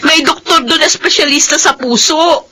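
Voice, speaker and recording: High, bright-voiced anguished young Filipino mother. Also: normal speed. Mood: worried, urgent. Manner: emotional, pleading and reproachful. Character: Almira, anguished young mother, bitter toward her ex. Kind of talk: Filipino radio drama acting.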